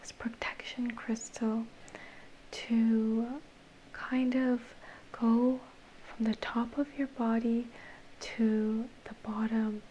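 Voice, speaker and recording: Whispering young woman, slow and even. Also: fairly high pitch, muffled recording, a little hiss or hum. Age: young adult. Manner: soft, breathy whisper, slow and soothing. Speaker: ASMR reiki practitioner. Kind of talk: whispered ASMR guided relaxation. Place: dim indoor room.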